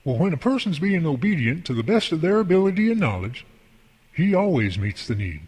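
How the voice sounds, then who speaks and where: An actor playing a folksy, devout boss and mentor in an amateur radio drama, explaining things earnestly and at length. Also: male voice, on the high side, very animated, brisk, a little mellow, husky. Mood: warm, appreciative. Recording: long hall reverb.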